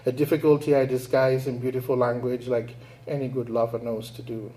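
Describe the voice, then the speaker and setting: A man's voice: mid-range voice, a little mellow, rough recording. A man from Nigeria giving a public talk as a writer, reading his prose and then answering audience questions in a thoughtful, storytelling way. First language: English and Igbo.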